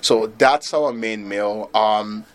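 A man from Nigeria, founder of a pop-up restaurant, talking in a relaxed, loose way as a guest in an interview. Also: on the low side, slow. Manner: relaxed, loose, filler-heavy talk with laughs. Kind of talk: casual interview chat.

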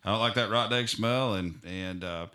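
Bright, low-voiced male co-host. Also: moderate pace. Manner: hesitant, searching for words. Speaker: podcast host. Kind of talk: casual chat. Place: podcast room.

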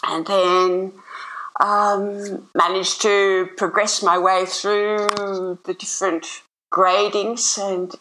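A woman's voice: medium pitch, little pitch movement, slow pace, bright, forceful tone, a little hiss or hum, poor audio. An older retired woman with an Australian accent, chatting in an upbeat, rambling way as an interview guest. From Sydney, Australia. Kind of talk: casual interview chat.

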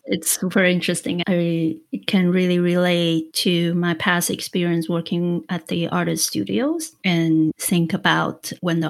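A woman from Taiwan, a media conservator, giving measured, thoughtful answers as a podcast guest. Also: on the low side, gravelly. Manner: measured, thoughtful, slow explaining.

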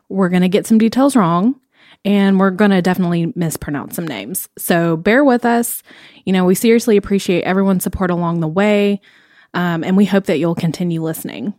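A woman's voice: medium pitch, a little mellow, no room sound, studio quality. A woman in her late twenties from Tennessee, co-hosting a podcast in a chatty, upbeat way with frequent ums. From Knoxville, Tennessee. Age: 20s. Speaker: podcast co-host. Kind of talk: casual podcast chat.

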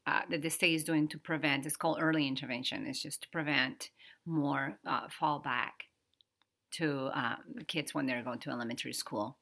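A Dominican-accented woman in her thirties cohosts a relaxed podcast in English, her second language. Her voice sits low but has a bright, forceful edge. She talks in a halting way, stopping to search for words and laughing easily. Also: creaky. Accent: Dominican Spanish. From Dominican Republic. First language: Spanish. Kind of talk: casual podcast conversation.

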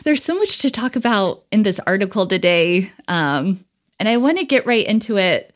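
A woman with a mid-pitched voice hosts an interview podcast. She talks informally and conversationally, often dropping "like" into her sentences. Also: very animated, normal speed. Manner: informal, frequent "like" as a filler. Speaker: podcast host.

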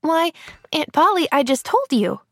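A woman's voice: high-pitched, sing-song, unhurried, no room sound, voice pushed up. A woman voicing an eager, kind-hearted young girl in an audiobook, chattering in a breathless, earnest, gushing way. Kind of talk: audiobook character voice.

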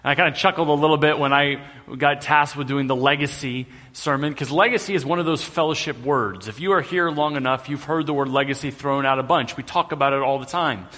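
A man's voice: on the high side, a little fast, hardly any pauses, sharp tone. A man preaching a sermon as pastor in a conversational way with light humor, in a church sanctuary, with a long hall reverb on the recording.

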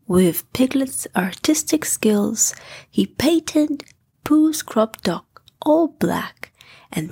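A woman narrating a scripted bedtime story for children with a soft, gentle delivery. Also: fairly high pitch, gravelly, husky, dry recording.

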